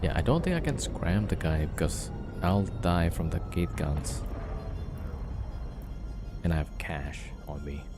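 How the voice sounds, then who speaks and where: Low, easygoing man who streams games and chats with viewers over steady game music. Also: a little slow, pauses often. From Indonesia. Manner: relaxed, chatty, answering viewer questions while playing. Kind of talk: casual gameplay chat with viewers.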